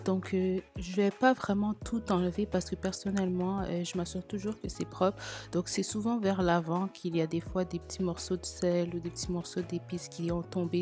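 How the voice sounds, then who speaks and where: A woman with a Quebec French accent giving a quiet, low-key voice-over narration. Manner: soft, lower and quieter.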